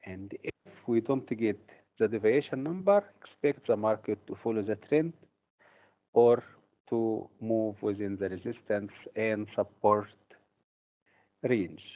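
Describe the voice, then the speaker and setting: A man's voice: on the low side, measured pace. Man presenting over tinny phone-like audio. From Egypt. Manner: steady, explanatory delivery with occasional hesitations. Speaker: trading instructor. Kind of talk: webinar presentation.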